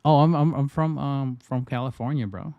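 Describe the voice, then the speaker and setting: A man's voice: mid-range voice, fairly even intonation, moderate pace, dry recording, phone-quality sound. A man from the Philippines casually chatting on his livestream, rambling with frequent restarts and fillers. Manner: loose, repetitive, lots of restarts and fillers. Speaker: trading livestreamer.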